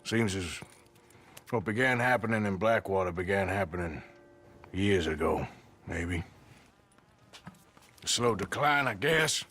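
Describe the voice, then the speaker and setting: Casting: sardonic American frontier outlaw for a game, weary and laconic, sharp edge, widely varied pitch. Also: male voice, expressive, a little slow, pauses often, breathy edge, soft background music, full character voice. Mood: weary, dry. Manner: laconic, dry, folksy. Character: gruff, weary, sardonic Old West male outlaw. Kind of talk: video game acting.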